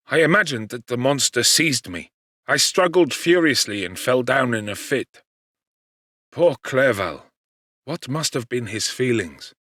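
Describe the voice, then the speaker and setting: A man giving a measured, articulate and dramatic narration of a classic gothic novel. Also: medium pitch, sharp tone, no room sound, clean studio sound. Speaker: audiobook narrator, actor.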